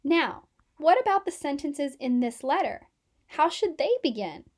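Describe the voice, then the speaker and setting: A woman's voice: high voice, very animated, a little slow. Woman voicing emphatic male schoolteacher, patient but exasperated. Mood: instructive. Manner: instructive, emphatic.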